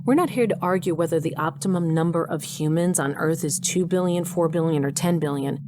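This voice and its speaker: A woman's voice: deep voice, brisk, noisy background, natural voice. A woman playing an earnest heroine who narrates and acts in a first-person audio drama. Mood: firm, pleading. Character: earnest human heroine narrating her story.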